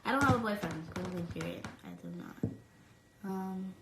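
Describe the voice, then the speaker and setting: A woman's voice: mid-range voice, moderate pace, roomy sound, very noisy. A young woman from New York with a light New York accent, chatting casually while answering fan questions on a phone livestream, full of likes and ums. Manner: chatty, lots of "like" and "um", reads comments aloud. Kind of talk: casual live Q&A. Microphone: phone at arm's length.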